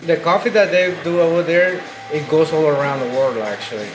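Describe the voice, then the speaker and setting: A man's voice: fairly high pitch, brisk, over music, rough recording. A young man from Guatemala, co-owner of a restaurant, answering questions in a casual interview, often stopping and restarting his sentences.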